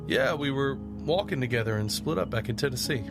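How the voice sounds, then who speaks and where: An actor playing a young long-distance traveler, narrating in the first person in an understated, introspective way for an audio drama. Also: male voice, mid-range voice, animated, fairly quick, close to an everyday voice. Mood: casual, curious. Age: young adult. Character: reflective young long-distance walker telling his journey. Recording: prominent music bed under the voice.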